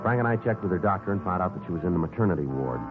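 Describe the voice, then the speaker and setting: A man playing a terse, methodical police detective in a 1950s radio drama, clipped and deadpan as he questions and narrates. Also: low voice, racing, phone-quality sound, music in the background. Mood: dry, factual. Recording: thin 1950s radio recording.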